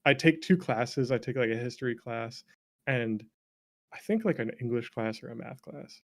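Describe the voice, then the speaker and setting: A man's voice: medium pitch, expressive, moderate pace. A man around thirty with a North American accent telling stories casually as an interview guest, self-deprecating, swearing freely and laughing easily. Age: late 20s to early 30s. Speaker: interview guest, game developer. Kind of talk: casual conversational storytelling.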